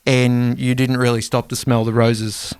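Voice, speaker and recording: A man co-hosting a podcast, talking in a rambling, conversational way and sometimes dropping his voice low. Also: little pitch movement, a little slow, darkish tone, clean tone, no room sound, clean studio sound. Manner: rambling, conversational, drops to a low mumble at times. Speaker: podcast co-host. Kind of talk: casual chat.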